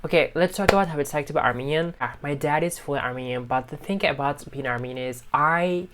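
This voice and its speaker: A man's voice: on the high side, fast talker, a bit bright, slight background noise. A young man, chatting casually to camera in a vlog, rambling with lots of fillers. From Istanbul, Turkey. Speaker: vlogger. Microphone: phone.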